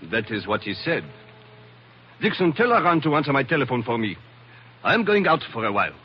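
An actor playing a suave, guarded colonial gentleman, speaking with formal politeness in 1940s radio drama. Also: mid-range voice, big pitch swings, brisk, slightly rough. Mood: curt. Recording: muffled vintage radio recording.

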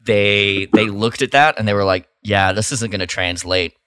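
A man's voice: fairly low pitch, normal speed, sharp tone, smooth. A man co-hosting a casual podcast chat, speaking candidly with frequent "like" fillers and laughter. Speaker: podcast co-host.

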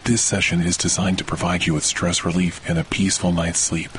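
A man narrating a scripted guided sleep meditation in a slow, soothing, deliberate way with long pauses. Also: low voice, a little hiss or hum. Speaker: podcast host, meditation guide. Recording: steady rain and thunder behind voice. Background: rainfall with thunder.

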